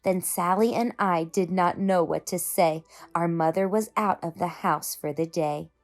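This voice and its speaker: A woman's voice: lowish voice, measured pace, faint music behind. A woman hosting a story time, speaking expressively and emphatically to young children. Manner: animated, emphatic, addressing children. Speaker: host reading children's stories.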